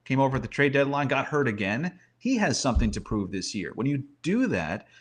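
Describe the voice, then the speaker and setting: A man's voice: medium pitch, big pitch swings, fairly quick. A man giving conversational sports commentary as a guest analyst, using rhetorical questions and emphatic repetition.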